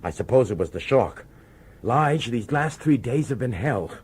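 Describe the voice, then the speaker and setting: A man's voice: medium pitch, expressive, normal speed, a little mellow, breathy edge. An actor playing a middle-aged male police commissioner in a radio drama, nervous and insistent, pleading with urgency. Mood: agitated, urgent. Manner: urgent, hesitant, pleading authority.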